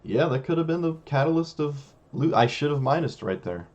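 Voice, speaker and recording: A young man with a hoarse, failing voice, casually commenting on his own card game as a streamer, musing and self-critical. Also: a little high, animated, brisk, a little mellow, lo-fi sound.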